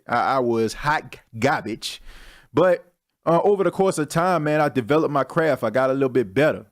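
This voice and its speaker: A young man hosting his own podcast, talking in a candid, slangy, self-mocking way as a casual solo monologue. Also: medium pitch. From Texas. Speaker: podcast host.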